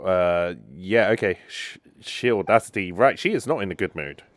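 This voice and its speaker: A woman's voice: a bass voice, animated, normal speed. A woman streaming a video game with casual running commentary, musing aloud and echoing bits of dialogue. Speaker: gaming streamer.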